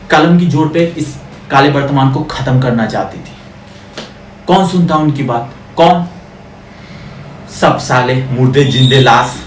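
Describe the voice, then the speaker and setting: A man's voice: mid-range voice, natural voice. A man playing a bitter, defiant condemned prisoner in a theatrical film monologue, scornfully declaiming at a crowd and repeating words for emphasis. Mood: bitter resignation. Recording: echoey, distant, noisy audio.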